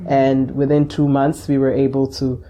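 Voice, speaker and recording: A woman's voice: a bass voice, little pitch movement, moderate pace, dark tone. A woman from Ghana, a podcast guest who talks reflectively and laughs often while recalling things. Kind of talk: casual interview chat.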